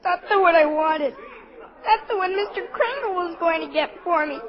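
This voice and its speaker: A woman's voice: extremely high pitch, moderate pace, a crowd in the background. An actress playing an earnest, doubting little girl, blunt and plaintive, in 1940s radio drama style. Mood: disappointed, sulky. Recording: dull 1940s radio recording, music behind.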